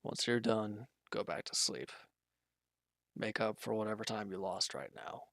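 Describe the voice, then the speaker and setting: A man playing an injured, weary villain in a roleplay audio drama, guarded and quietly considerate, speaking in halting, hesitant lines. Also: expressive, creaky, no echo, clean studio sound, heavily acted. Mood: weary, reluctant. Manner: hesitant, halting, low-key, grudging. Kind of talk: roleplay audio drama, intimate one-sided dialogue.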